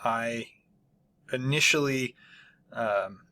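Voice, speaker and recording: Host of a small book channel: unhurried, hesitant man who fills gaps with ums and keeps clearing his throat. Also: mid-range voice, pushed and bright. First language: not Polish. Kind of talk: casual spoken book review.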